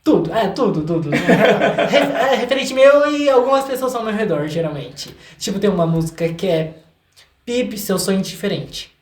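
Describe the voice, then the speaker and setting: A young Brazilian man talking casually and candidly as a guest in an interview, with an expressive manner. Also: sits high, normal speed. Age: young adult. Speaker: guest, singer and songwriter. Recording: roomy, echoey room sound.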